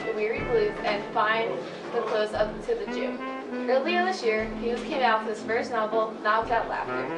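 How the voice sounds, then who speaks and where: A young woman reading a scripted informational narration. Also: on the high side. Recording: echoey distant mic, loud music. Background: loud instrumental music.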